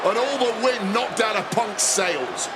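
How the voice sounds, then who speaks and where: High-pitched man commentating dramatic wrestling action. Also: animated, unhurried. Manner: dramatic, emphatic, florid wording. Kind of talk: excited play-by-play and colour commentary. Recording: loud arena crowd and noise behind voice. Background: roaring crowd and impact slams.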